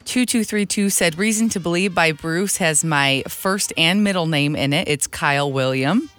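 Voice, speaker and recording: A woman's voice: fairly low pitch, normal speed, a bit bright, soft background music. A woman co-hosting a morning radio show, reading listener texts aloud and laughing easily with her partner. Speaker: radio co-host.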